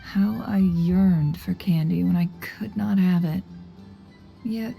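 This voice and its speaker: Very mellow, dignified desert lady. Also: fairly low pitch, takes it slowly, gravelly, soft background music, full character voice. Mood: wistful. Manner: wistful, formal. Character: gracious, wistful desert woman, playful yet dignified. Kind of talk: video game voice acting.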